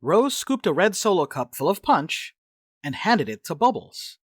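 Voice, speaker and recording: A woman's voice: on the low side, big pitch swings, measured pace, no echo. A woman reading scripted narration and stage directions for a dramatic reading.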